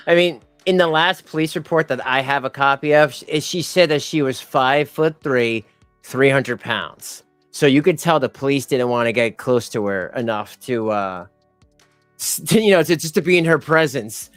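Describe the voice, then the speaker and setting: A man's voice: mid-range voice, moderate pace, fairly bright tone, no room sound, soft background music. A man giving mocking commentary over a clip, speaking to his viewers as folks. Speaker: commentary streamer. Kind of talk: reaction commentary.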